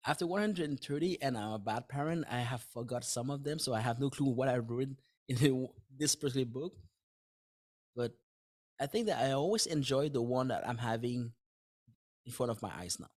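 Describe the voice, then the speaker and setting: A man's voice: mid-range voice, brisk, a little mellow. French-native man chatting as a guest. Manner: thinks aloud in simple words, with frequent restarts and light laughs. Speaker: podcast guest, dentist and author. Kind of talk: casual interview conversation.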